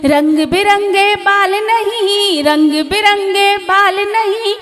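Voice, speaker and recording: A young woman poet at a stage poetry gathering, reciting couplets, repeating each line and slowly singing the refrains, with quick chatty asides between verses. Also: very high-pitched, fairly bright tone, long reverb tail, faint music behind. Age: young adult. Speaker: poet at a mushaira.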